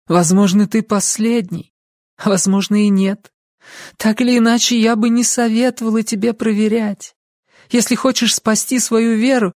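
A performer voicing a high-pitched, pleading young woman. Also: a little mellow, dry recording, studio quality, close to an everyday voice. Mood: resolute. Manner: pleading, insistent. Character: desperate, weary young woman pleading with her beloved.

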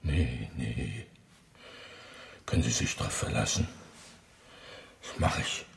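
An actor playing a surly, evasive old widower in a radio drama, gravelly and mumbling in short replies. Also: male voice, extremely low pitch, slow pace, background noise, full character voice. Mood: sullen, evasive. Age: older. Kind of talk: German radio drama dialogue.

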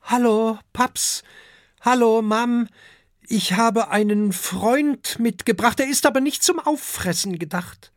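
A storybook narrator voicing an earnest, polite young male dragon, a son with a gentle, hesitant way of talking. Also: mid-range voice, slow pace, dry recording, studio quality, voice pushed up, lightly acted. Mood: cheerful.

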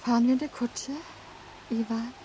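A tender, plaintive woman at the end of the world played by an actress. Her fairly high voice carries intimate radio-drama longing through clear background noise. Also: level tone, moderate pace, lightly acted. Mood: tender, fearful. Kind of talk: German radio drama acting.

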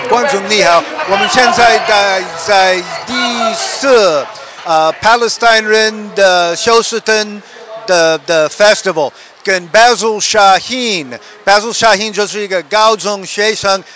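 A man interviewing people at an outdoor festival, asking questions haltingly and switching between two languages. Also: high voice, dull audio, over music. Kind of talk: bilingual street interview.

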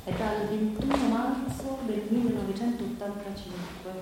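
A woman performing a reflective stage monologue as an actress thinking aloud, halting and repeating phrases, as if in spontaneous conversation. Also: medium pitch, natural voice. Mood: pensive, tender. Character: reflective actress pondering how to portray women awaiting death. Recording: very echoey hall, distant, noisy.

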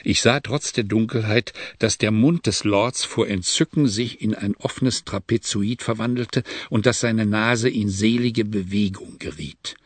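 A man narrating an adventure story in a measured, calm reading, close to the microphone. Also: on the low side, no echo. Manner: measured, hushed at tense moments.

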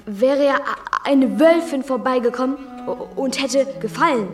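A woman's voice: high voice, a little slow, distant mic, soft background music. A woman playing a precocious, insolent schoolboy in a radio drama, clever, cheeky and defiant, prone to stammering. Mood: cheeky, unrepentant.

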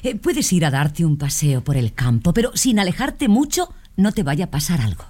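A woman's voice: fairly low pitch, sing-song, moderate pace, dark tone. A woman playing a caring, cautioning mother in a children's storybook recording. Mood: gently cautioning. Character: caring mother warning her young daughter. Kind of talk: children's storybook audio acting.

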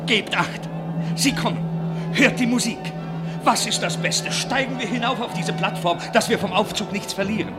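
High-voiced eager young villager man acting. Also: normal speed, over loud music, close to an everyday voice. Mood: excited. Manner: eager, calling out. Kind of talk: classical German radio drama.

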